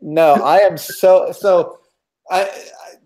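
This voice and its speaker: A man's voice: high-pitched, takes it slowly, a little mellow, rough recording. A man around fifty, a podcast guest, candidly telling his own story with self-deprecating humor and emphatic repetitions.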